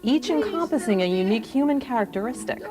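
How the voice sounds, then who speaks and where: A woman's voice: on the high side, expressive, fast, over music. A woman narrating a documentary from a script.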